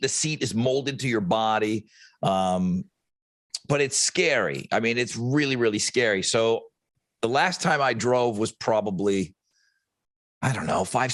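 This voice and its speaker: A man's voice: mid-range voice, expressive, measured pace, a bit bright. A man, a radio host co-hosting a podcast, chatting casually and telling self-mocking brag stories. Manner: easygoing, self-deprecating, boastful storyteller. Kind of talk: casual chat.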